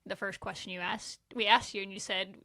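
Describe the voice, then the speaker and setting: A woman's voice: mid-range voice, steady tone, brisk, bright, forceful tone. A woman co-hosting a podcast interview, asking supportive follow-up questions in a casual way. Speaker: podcast co-host.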